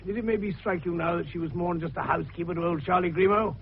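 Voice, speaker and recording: Creaky, avuncular old detective doctor. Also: male voice, high-pitched, fast, heavily acted. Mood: musing, genial. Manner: avuncular, teasing, rhetorical questioning. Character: shrewd, jovial, avuncular older male amateur detective doctor. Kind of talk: British radio drama acting. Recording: dull lo-fi radio recording with hiss.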